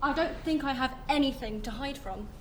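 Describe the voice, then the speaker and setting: A woman playing a calm, evasive, matter-of-fact afterlife guide in a stage play. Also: sits high, brisk. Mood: breezy. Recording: echoey stage recording, faint noise.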